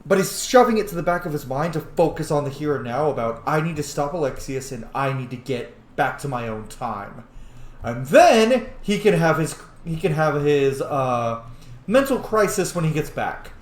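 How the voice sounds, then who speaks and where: A man's voice: on the high side, very animated, moderate pace, reverberant. A man hosting a gaming video with casual, sweary roleplay commentary, speaking his character's thoughts aloud.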